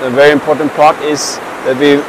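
Swedish man, 30s, unhurried and halting to camera, fairly high voice, loud river noise behind. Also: flat delivery. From Sweden. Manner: slow, halting, many fillers and restarts. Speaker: traveler and meditation practitioner. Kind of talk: casual spoken account to camera. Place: outdoors by a mountain river.

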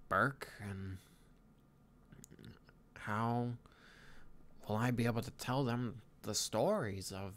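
A man voicing a weary, earnest young Viking leader in improvised roleplay audio, nasal and halting, musing to a companion. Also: on the low side, fairly bright tone, a little hiss or hum. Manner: halting, hesitant musing with pauses.

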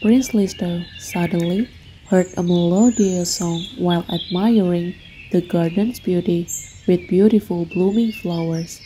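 A woman's voice: fairly low pitch, a little slow, warm tone, slight background noise. A woman narrating a scripted children's bedtime story as a storybook narrator. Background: background music.

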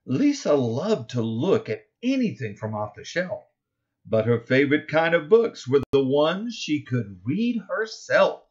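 A middle-aged man reading his own children's picture book aloud, expressively and deliberately, stressing key words in the rhymes. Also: on the high side, big pitch swings, lo-fi sound. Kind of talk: expressive children's storybook narration.

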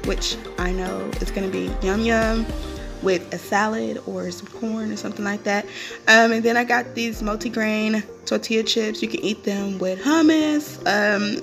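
A young woman talks through her grocery haul in a home kitchen, chatty and upbeat, with plenty of "um" and "you guys". Her voice sits in a middle pitch, and she is picked up by a phone camera held close. Music plays clearly behind her. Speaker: vlogger showing a grocery haul. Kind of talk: casual chatty haul vlog.

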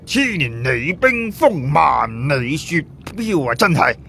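Excitable male adventurer sidekick for audiobook, played by a man, exclamatory, higher-pitched, faint noise. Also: slow, gravelly, full character voice. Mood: awed.

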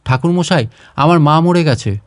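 A man's voice: a little high, moderate pace, no echo, studio quality, lightly acted. A man voicing a grieving, timid village boy in a storybook reading, meek and pleading.

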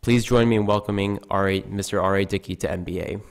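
A teenage boy reading a prepared speaker introduction at a school lectern, steady with small slips. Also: on the low side, fast talker, background noise. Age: teens. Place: school auditorium stage at a lectern. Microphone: podium mic.

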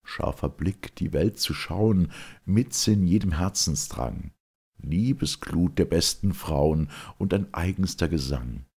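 A solemn ancient chorus spoken by one man declaims verse in a measured, mourning and admiring way. The voice is low and husky and takes its time. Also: darkish tone, exaggerated voice. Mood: mournful.

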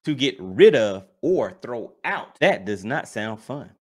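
A man's voice: mid-range voice, very animated, takes it slowly, husky. An American man in his forties giving theatrical reaction commentary as host, full of rhetorical questions, close on a microphone. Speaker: host of a fishing reaction vlog. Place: small home studio. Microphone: boom-arm microphone up close.

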